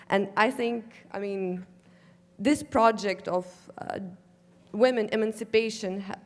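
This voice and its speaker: A woman's voice: medium pitch, big-room sound. A woman from the former Soviet region with an Eastern European accent, hesitantly asking a question from the audience. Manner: hesitant, apologetic. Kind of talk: question from the floor.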